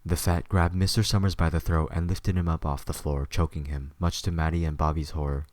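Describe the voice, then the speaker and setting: A man's voice: a bass voice, level tone, fairly quick, darkish tone, no echo. A man narrating a scripted story for an audio drama.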